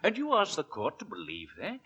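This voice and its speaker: An actor playing a stern, sceptical, impatient Victorian coroner, formal and dismissive, in 1940s radio style. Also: male voice, on the high side, huge pitch swings, fairly quick, bright, forceful tone, slightly rough, full character voice. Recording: dull vintage radio recording.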